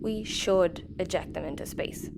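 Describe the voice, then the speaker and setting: A woman's voice: fairly low pitch, unhurried, gravelly, background noise. A woman playing a cheeky, hard-boiled young crew member in a sci-fi audio comedy. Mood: unfazed.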